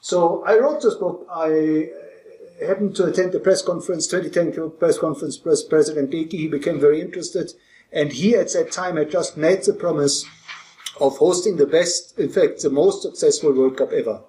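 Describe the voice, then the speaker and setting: A man's voice: fairly high pitch, normal speed, warm tone. German-accented man presenting in an echoey room. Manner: conversational, with frequent fillers and restarts. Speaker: speaker. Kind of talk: presentation to an audience.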